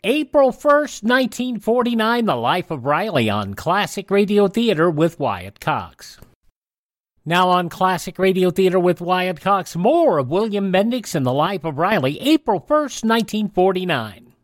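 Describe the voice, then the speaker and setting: A man's voice: high-pitched, very animated, a little slow. A man hosting a radio program, reading a scripted announcement of the show. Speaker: radio host.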